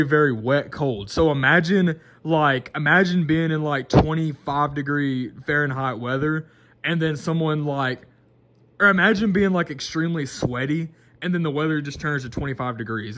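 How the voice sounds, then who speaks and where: Young man, 20, rambling vlog monologue, slightly high-pitched. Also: normal speed, fairly bright tone. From Mississippi, American South. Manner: rambling, many restarts and fillers. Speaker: vlogger. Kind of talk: casual unscripted monologue.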